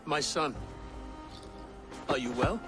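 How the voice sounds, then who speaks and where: An actor playing a newly crowned king and father who speaks earnestly and gravely in a video game cutscene. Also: a little high, slow pace, music in the background. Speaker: game character.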